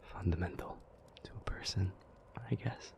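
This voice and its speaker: A man playing a weary, anguished demon in an intimate audio roleplay, pleading haltingly in a breathy near-whisper. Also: close to an everyday voice. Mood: weary, resigned. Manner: halting, slow, hushed delivery with long pauses.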